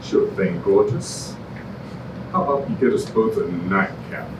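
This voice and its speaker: An actor playing a wisecracking, flirtatious film noir detective full of hard-boiled slang, in a staged musical comedy reading. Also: male voice, lowish voice, lively intonation, measured pace. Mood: flirtatious swagger. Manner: slangy hard-boiled patter with purple similes. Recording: roomy, distant, noisy live stage recording.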